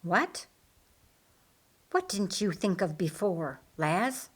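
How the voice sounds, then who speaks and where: Anxious, insistent sister in a Bible audiobook, read by a woman, earnest and probing, slowly paced. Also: fairly low pitch, big pitch swings, breathy edge, full character voice. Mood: curious, pressing. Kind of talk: audiobook character dialogue.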